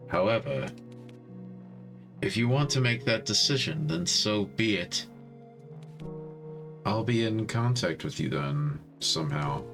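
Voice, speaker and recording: A man's voice: fairly low pitch, lively intonation, slow, pauses often, over music. A man voicing a reclusive, formal hacker in a cyberpunk visual novel, speaking in terse, stiff phrases. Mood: aloof.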